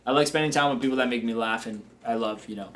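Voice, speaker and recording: A man's voice: mid-range voice, fast, fairly bright tone. A man hosting a coaching show, talking in a confident, upbeat and joking way. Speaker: business coach and show host. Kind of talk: motivational coaching talk.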